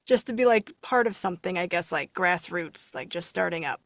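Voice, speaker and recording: A woman chatting casually on a podcast panel, expressive and giggly. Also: lowish voice, a little fast, dry recording. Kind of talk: casual chat.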